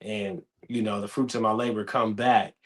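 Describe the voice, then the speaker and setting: A man in his thirties hosting a casual podcast chat, telling stories with lively acted-out quotes. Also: lowish voice, normal speed. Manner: animated storytelling, acts out quoted dialogue. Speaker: podcast host.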